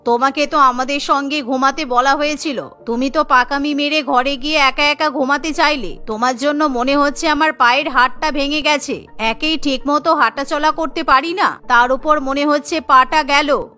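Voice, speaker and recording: A bossy older mother-in-law from a cartoon, acted by a woman. The voice is bright and forceful, dropped below the storyteller's own pitch, with a grumbling, scolding edge and faint music behind. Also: steady tone, normal speed, hardly any pauses. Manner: scolding, complaining, commanding.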